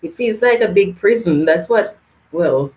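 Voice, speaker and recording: A woman's voice: fairly low pitch, expressive, measured pace, a little mellow, poor audio. A woman hosting a live gardening Q&A from Canada, reading out viewer comments and answering in a chatty way that breaks into laughs. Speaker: gardening channel host. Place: home, live stream.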